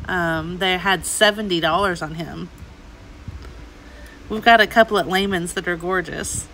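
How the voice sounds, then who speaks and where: A woman's voice: lowish voice, a little slow, fairly bright tone, a little hiss or hum, low-quality recording. A middle-aged woman giving casual, chatty shopping vlog commentary, gushing over the items she looks at. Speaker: host, antiques reseller. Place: inside an antique mall.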